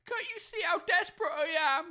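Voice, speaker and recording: A woman's voice: very high voice, brisk, bright, forceful tone. A woman playing an anxious, pleading working-class village mother in an amateur comedy audio drama, flustered and apologetic. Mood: tearful pleading. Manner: flustered, apologetic, non-standard grammar.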